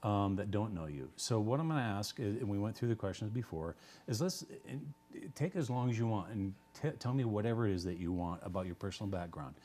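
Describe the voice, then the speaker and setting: A man with a somewhat low voice hosts a local television interview. He asks his questions casually, often restarting mid-sentence. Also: animated, mellow. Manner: casual, halting, friendly questioning. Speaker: TV host.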